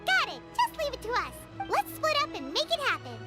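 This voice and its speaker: A tiny cheerful girl sidekick from a video game. The voice is extremely high and squeaky, swinging eagerly up and down with chirpy emphasis. Loud music plays underneath. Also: wildly swooping pitch, fairly quick, heavily acted. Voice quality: squeaky, childlike. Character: small, perky, childlike girl companion, cheerful and eager. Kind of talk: video game character voice acting.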